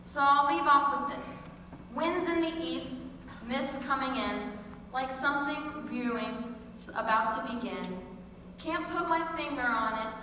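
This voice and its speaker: Bright, high teenage girl in an echoey hall. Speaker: student performer. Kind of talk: recited theater monologue. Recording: very echoey hall, distant mic, faint noise.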